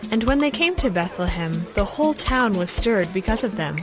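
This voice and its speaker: A woman narrating scripture as a scripted audio story, with measured, clear phrasing. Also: mid-range voice, expressive. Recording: background music under the voice.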